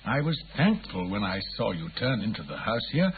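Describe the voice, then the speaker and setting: An actor playing a cranky, lame old bookseller with a British accent and a thin wheezy voice, in a 1950s radio drama. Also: male voice, on the low side, big pitch swings, normal speed, a little hiss or hum. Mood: weary, apologetic. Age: older. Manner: grumbling, deferential old-fashioned speech. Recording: muffled, tinny 1950s radio recording.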